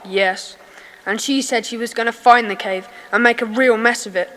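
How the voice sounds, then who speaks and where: A woman's voice: a little high, fairly even intonation, moderate pace, fairly bright tone, long reverb tail, natural voice, rough recording. A woman playing an earnest, puzzled schoolboy in a children's adventure audio drama. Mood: exasperated.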